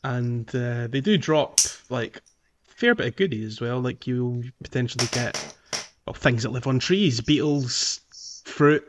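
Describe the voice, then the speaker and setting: A man co-hosting a casual video game podcast, talking in an animated way and repeating phrases for emphasis. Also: mid-range voice, unhurried, background noise. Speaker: podcast co-host.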